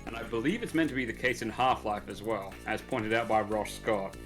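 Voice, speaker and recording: A man with a somewhat low voice talks through a video game in a loose, rambling way. He lets his sentences fade quietly as he thinks aloud. Soft game music plays faintly underneath. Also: brisk. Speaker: let's play co-commentator. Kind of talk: casual game commentary.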